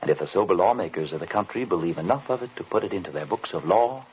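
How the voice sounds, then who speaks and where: An actor playing an enigmatic, learned male occult investigator in an old radio drama, speaking formally and deliberately in a theatrical way. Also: deep voice, lively intonation, darkish tone. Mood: ominous, musing. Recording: muffled, lightly noisy vintage radio recording.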